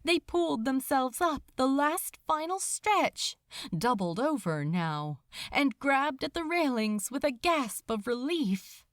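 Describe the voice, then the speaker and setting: A woman's voice: sits high, no room sound. Woman narrating a dramatic story, takes her time, really close dry sound. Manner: expressive, suspenseful, deliberate. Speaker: audiobook narrator. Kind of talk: dramatic scripted narration of fiction.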